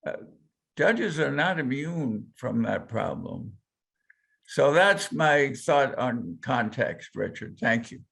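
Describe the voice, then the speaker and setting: Slow, gravelly older man. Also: medium pitch, very animated, fairly bright tone. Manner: slow, deliberate storytelling. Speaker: panelist. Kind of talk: panel discussion remarks.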